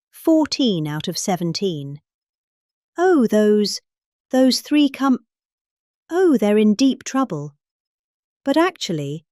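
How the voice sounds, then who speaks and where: British female, middle-aged, theatrical comic speech reader with highly varied intonation, heavy emphasis and dramatic pauses, very dry recording. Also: darkish tone, no room sound. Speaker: performer reading a political speech. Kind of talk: dramatic comedic reading of a speech.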